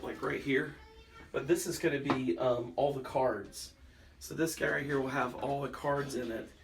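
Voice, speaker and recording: A man's voice: mid-range voice, vocal fry, recorded from a distance. A man talking casually and colloquially as he explains his plans on a family vlog. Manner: folksy, informal, colloquial. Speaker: pastor, family vlogger. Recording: noisy handheld vlog recording.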